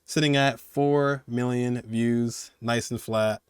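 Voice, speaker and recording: A man's voice: mid-range voice, steady tone, slow pace, clear, resonant tone, dry recording, studio quality. A man giving casual, slangy, conversational commentary as host of a video game countdown. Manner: slangy, conversational, chuckling asides.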